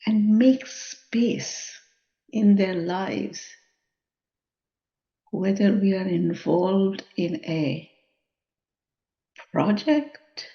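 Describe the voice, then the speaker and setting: A woman leading a guided meditation in a measured, contemplative manner, leaving long pauses between phrases. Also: mid-range voice, lively intonation, creaky, warm tone. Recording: dull, muffled lo-fi sound.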